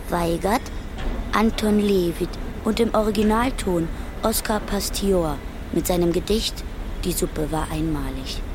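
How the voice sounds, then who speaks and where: A woman's voice: medium pitch, noisy background. A woman reading scripted radio drama credits in a formal, matter-of-fact manner. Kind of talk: scripted announcement.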